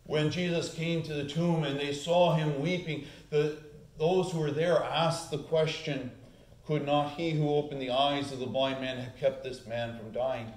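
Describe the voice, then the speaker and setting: A man's voice: fairly high pitch, fairly even intonation, measured pace. A man in his forties preaching a sermon as pastor, earnest, lifting his voice on the key points, filmed from a distance at the lectern. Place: church sanctuary, at the lectern. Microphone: far camera. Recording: echoey church room.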